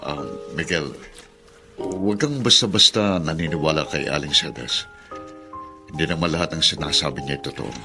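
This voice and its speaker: A self-sacrificing, middle-aged ex-convict father speaks in a bright, emotional voice. He halts and pleads with heavy sorrow, in radio drama style over faint music. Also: rumbling low, exaggerated voice. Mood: resigned, hurt. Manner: halting, pleading, emotional delivery. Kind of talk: Filipino radio drama acting.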